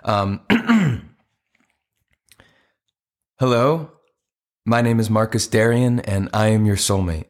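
A man's voice: fairly low pitch, animated, slow, pauses often. An actor playing an earnest, hopeful, slightly awkward man looking for love in a comedic audio play, speaking politely and hesitantly but persistently. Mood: nervous, earnest.

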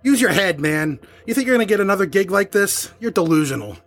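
A man's voice: high-pitched, expressive, quick pace, music in the background. A man acting in an audio horror drama as an urgent, slangy rock musician desperate to hold his band together. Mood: incredulous, urgent.

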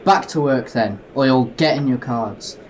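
A man's voice: medium pitch, measured pace, natural voice. Man as a stubborn old railway company man. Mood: stern, threatening. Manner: scornful, bossy. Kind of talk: scripted audio drama dialogue.

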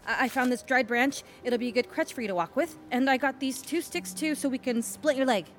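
A woman's voice: high voice, a little fast, slight background noise, natural voice. A woman playing a plucky, protective hiker in an audio drama, coaxing and slangy. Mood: reassuring.

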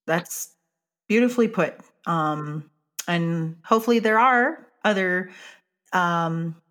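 A woman hosting an interview, affirming her guest and sharing her own experience in a casual chat. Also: medium pitch. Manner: warm affirming, lots of backchannels. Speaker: podcast host.

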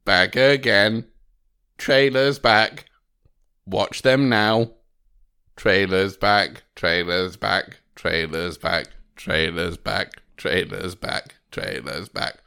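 A man's voice: sits low, drawn-out words, vocal fry, sharp tone, clear, resonant tone. A man co-hosting a podcast in casual chat, playful and teasing, at one point singing a silly jingle. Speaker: podcast co-host.

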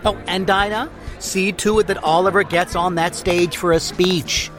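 An actor playing a smarmy, leering business magnate in an audio drama, with an unctuous drawl. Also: male voice, sits high, fairly even intonation, normal speed, music in the background, natural voice. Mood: needling.

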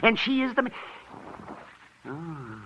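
An actor playing an excitable, rattled boarding-house tenant in a 1940s radio drama. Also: male voice, fairly high pitch, slow, exaggerated voice. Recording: dull, faintly noisy old radio recording.

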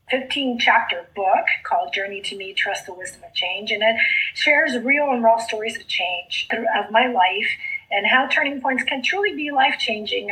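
A woman's voice: fairly high pitch, pushed and bright, poor audio. A middle-aged woman from South Texas who grew up speaking Spanish, telling her story in an earnest, heartfelt way as a podcast guest. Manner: earnest, heartfelt storytelling with slow emphatic phrases. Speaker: guest, author and coach. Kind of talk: podcast interview.

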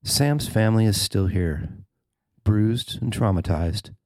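A man with a low voice reads a written news feature aloud as narrator. The sound is dry, with very little room around him. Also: animated, slow pace, a little mellow, no echo. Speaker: writer and narrator of a feature story.

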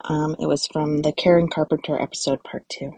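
A woman leaving a soft, earnest voice message for a podcast. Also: low voice, steady tone, brisk, slightly rough, dry recording. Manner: soft, earnest, quoting a host. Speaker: listener sending a message. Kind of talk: recorded voice message.

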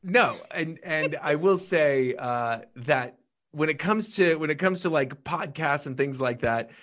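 A man hosting a podcast, introducing his guest with a hyped, high-energy delivery. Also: measured pace, fairly bright tone. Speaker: podcast host.

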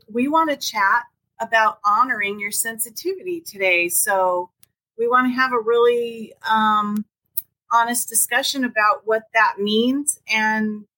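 A woman co-hosting a podcast in casual chat, talking hesitantly with many pauses and ums. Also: mid-range voice, rough recording. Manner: hesitant, many ums, soft-spoken openness. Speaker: podcast co-host.

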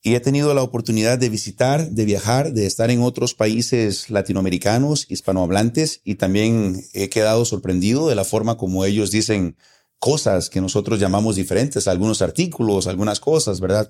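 A man's voice: on the low side, normal speed, dark tone. A man with a Costa Rican accent, patiently explaining local words in a casual conversation, often repeating his points. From Costa Rica. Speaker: guest explaining local slang.

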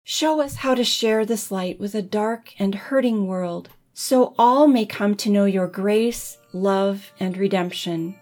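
A woman leading a congregation in prayer with gentle, deliberate phrasing. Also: mid-range voice, soft background music. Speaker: music director leading prayer. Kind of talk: led liturgical prayer.

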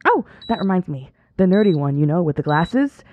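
A woman's voice: lowish voice, very animated, fairly quick, narrow, tinny audio, slight background noise. A woman playing a chatty, saccharine nurse with an unsettlingly careless manner in an audio drama. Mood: gossipy, careless. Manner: sugary endearments, breezy, chattering.

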